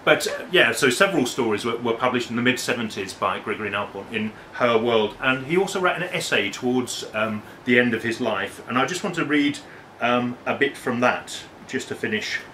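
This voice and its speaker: A man's voice: mid-range voice, lively intonation, normal speed, pushed and bright, slight background noise. A man in his forties, an academic, reading aloud at a book launch, with hesitant, self-correcting asides between passages. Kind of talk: public reading with casual asides. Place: bookshop, indoors. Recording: roomy echo from far off.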